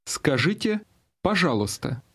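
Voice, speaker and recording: Male course narrator, fairly high and slightly rough voice, instructional delivery for audio lessons. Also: big pitch swings, unhurried, fairly bright tone.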